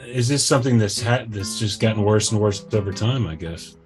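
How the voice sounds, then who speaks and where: A man's voice: on the low side, brisk, faint music behind. A man interviewing a truck driver and asking tentative, trailing questions.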